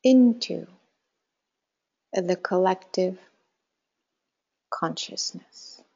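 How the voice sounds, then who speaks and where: A woman leading a guided meditation in a calm, measured way, with short instructions and soft phrase endings. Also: lowish voice, husky, dull audio. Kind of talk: guided meditation, slow spoken instructions with long pauses.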